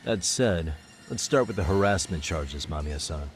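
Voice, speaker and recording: A man's voice: low voice, expressive, moderate pace, faint music behind. A man playing a composed private investigator in an English-dubbed crime game, matter-of-fact and probing. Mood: probing, serious.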